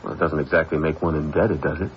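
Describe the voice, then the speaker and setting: Haughty, low-voiced wealthy gentleman. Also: fairly even intonation, quick pace. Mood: irritated. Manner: formal, curt, condescending. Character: haughty, guarded wealthy gentleman, evasive suspect. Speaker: actor. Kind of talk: old-time radio mystery acting. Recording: dull, noisy old radio recording.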